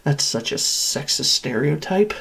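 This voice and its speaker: A man's voice: fairly high pitch, lively intonation, unhurried, creaky, bright, forceful tone, breathy edge, exaggerated voice. A man voicing an insane, sadistic supercomputer that hates its human captives, in a theatrical and menacing villain monologue. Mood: taunting, sinister. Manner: theatrical, menacing, swinging from whispers to screams.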